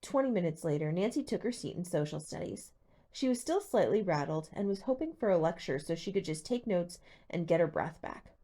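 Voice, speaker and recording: A woman reading a teen mystery novel aloud as expressive scripted narration. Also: on the low side, brisk. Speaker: audiobook reader.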